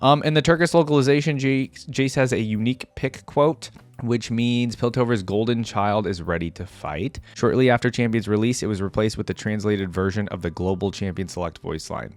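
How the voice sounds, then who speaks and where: Low-voiced man in casual reaction commentary. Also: normal speed, no echo. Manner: rambling, hedging, conversational. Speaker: reaction video host. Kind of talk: casual unscripted video commentary.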